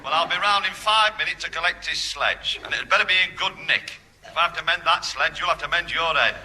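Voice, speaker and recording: A male actor playing a gruff, sarcastic middle-aged northern English father making threats in a 1960s radio sitcom. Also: on the high side, fairly quick, pushed and bright, slight background noise, low-quality recording. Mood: threatening. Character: gruff, sarcastic, menacing northern dad chasing a debt.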